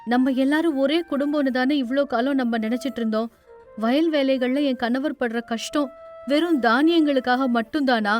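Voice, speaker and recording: Wronged elder daughter-in-law, done by a female storyteller: tearful, reproachful, high-pitched, soft music behind. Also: normal speed, close to an everyday voice. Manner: tearful, indignant. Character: hurt, devoted, reproachful elder sister-in-law. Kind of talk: dramatised moral story. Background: faint music.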